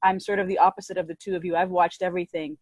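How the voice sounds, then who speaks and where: A woman's voice: lowish voice, little pitch movement, racing, darkish tone, dry recording. A woman who works as an advocate and speaker, talking on a panel in an earnest, reflective way and often repeating herself. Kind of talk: panel discussion.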